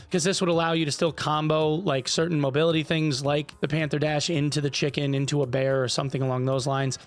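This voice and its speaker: A man with a raspy, hoarse voice, hosting casual gaming commentary in a loose, conversational way with frequent fillers. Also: fairly high pitch. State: raspy, hoarse voice from a vocal fold polyp. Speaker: gaming YouTuber host.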